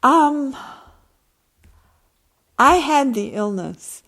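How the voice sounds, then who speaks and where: A woman's voice: sits high, expressive, drawn-out words, fairly bright tone. A woman novelist speaking at a book event, reading from her work and answering questions.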